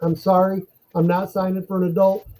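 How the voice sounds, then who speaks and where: A man telling animated anecdotes in a casual podcast chat. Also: high-pitched, brisk, poor audio. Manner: animated anecdotes, laughs while telling stories.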